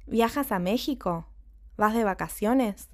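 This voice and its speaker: Storybook figure: friendly young Spanish man, slow and easygoing, read by a woman. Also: medium pitch, animated. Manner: friendly, kind. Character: friendly young male Spanish tennis player.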